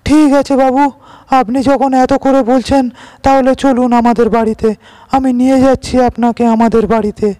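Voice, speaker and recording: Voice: sits high, level tone, moderate pace, clean tone, no echo, close to an everyday voice. An actor voicing a humble, earnest poor village labourer in a cartoon moral story, speaking respectfully. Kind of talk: Bengali animated moral story dialogue. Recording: loud music under the voice.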